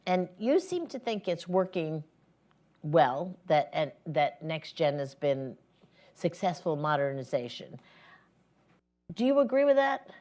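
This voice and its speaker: A woman moderating a live panel discussion, putting questions to the speakers in a conversational, deliberate way. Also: sits low, very animated, a little mellow. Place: live panel event before an audience.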